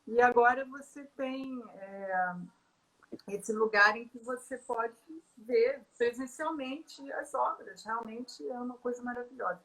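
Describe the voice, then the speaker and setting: A middle-aged woman, an artist in a conversational interview, talking with many pauses, fillers and restarts. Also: on the high side, fairly bright tone, rough recording.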